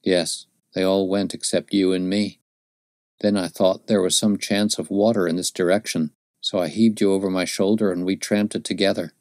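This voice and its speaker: A man voicing a gaunt, dying frontiersman who speaks gently in rustic dialect, with a low voice. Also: flat delivery, moderate pace, no room sound, studio quality, lightly acted. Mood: gentle.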